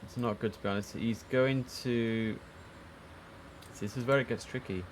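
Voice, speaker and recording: A man's voice: medium pitch, steady tone, normal speed. A man livestreaming as an air traffic controller, giving clipped formal radio instructions and muttering casual commentary to himself in between.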